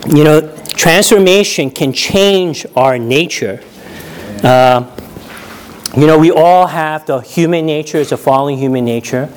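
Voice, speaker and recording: A man's voice: fairly high pitch, unhurried, a little hiss or hum. A man from New York preaching a conversational sermon, often checking in with his listeners and laughing at his own stories. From New York, USA. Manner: chatty, often checks in with "right?".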